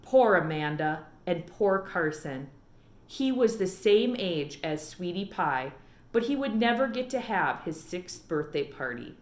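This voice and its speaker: A woman teacher narrating a children's storybook as an expressive read-aloud. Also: mid-range voice, echoey room. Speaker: elementary teacher.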